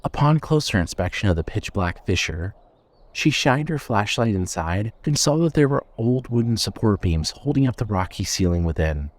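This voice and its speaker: A man narrating a scripted suspense story in a measured, storytelling manner. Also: on the low side, very animated.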